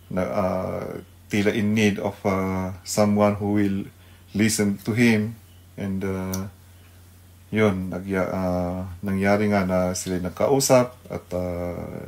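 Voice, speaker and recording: A man with a Filipino accent giving an unscripted devotional talk as a radio host, haltingly searching for words. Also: lowish voice, a little mellow.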